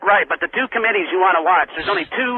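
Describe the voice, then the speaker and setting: Male American caller, fairly high voice, insistent and halting political talk over a thin, faintly noisy telephone line. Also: lively intonation. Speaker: regular radio caller. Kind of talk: political argument on call-in radio. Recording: tinny, noisy phone line on radio.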